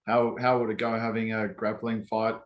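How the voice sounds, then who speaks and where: A man's voice: fairly low pitch, completely flat delivery, normal speed. A man, a gym owner co-hosting a podcast, talking in a loose, self-mocking way and swearing freely. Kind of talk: casual chat.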